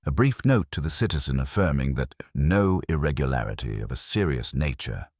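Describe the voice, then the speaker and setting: A man reads a story in an extremely low voice with lively rises and falls. His delivery is measured and formal, with a dry wit, and the sound is close and dry. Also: breathy edge, no room sound. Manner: measured, formal, dryly wry. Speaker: narrator. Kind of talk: scripted story narration, audiobook-like.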